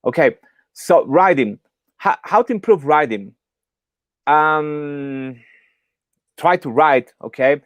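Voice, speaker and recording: A man's voice: a little high, slow pace, long pauses, fairly bright tone, dry recording. Man coaching English learners, dry sound. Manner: encouraging, often checks with "okay?" and "right?". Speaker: English exam coach, workshop presenter. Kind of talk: informal online lesson. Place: online video call.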